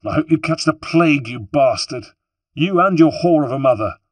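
A fiery young woman thief with a foul mouth, snarling and cursing, as a man puts her on. The voice sits well above a low male register. It is dry and close, with the grit of someone in pain. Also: lively intonation, normal speed, no echo. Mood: furious.

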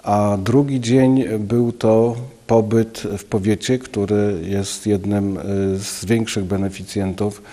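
A man in his fifties, a local official giving a news interview in an office, speaking haltingly with many filler sounds into a handheld mic. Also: lowish voice, level tone, dark tone. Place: office, indoors. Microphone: handheld station mic.

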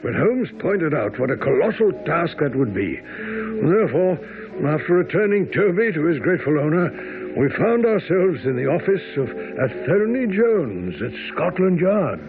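An actor playing an earnest, gentlemanly detective's companion who narrates a radio drama. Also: male voice, a little high, big pitch swings, moderate pace. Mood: measured, storytelling. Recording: tinny radio broadcast with music behind.

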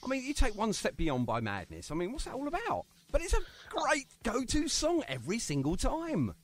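A man's voice: very high voice, wildly swooping pitch, brisk. A man hosting a radio show, chatty and jokey, interviewing a guest. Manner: chatty, jokey, self-mocking.

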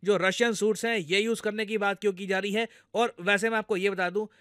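A man's voice: high-pitched, fairly even intonation, fairly quick, bright, forceful tone, clean tone, no room sound, studio quality. A man with an Indian accent giving explanatory news commentary in a conversational way, addressing viewers directly and going step by step. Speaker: news commentator, video host.

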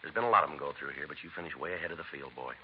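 Fast-talking, low-voiced American police sergeant. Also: male voice, lively intonation. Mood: stern, scolding. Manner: clipped, low-key, matter-of-fact. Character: stern, fed-up, no-nonsense police sergeant. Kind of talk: 1950s radio drama acting and narration. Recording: muffled vintage radio recording, faint noise.

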